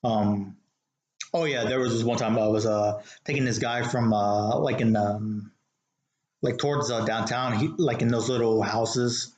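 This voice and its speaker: A man with a mid-pitched voice tells stories in a casual, meandering way, often stopping and restarting with plenty of "like"s. He is recorded indoors in a living room, with noticeable room echo around his voice. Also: fairly even intonation, darkish tone. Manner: rambling and halting, peppered with "like". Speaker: show host, rideshare driver telling stories. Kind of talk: casual chat, storytelling. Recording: roomy, echoey living room.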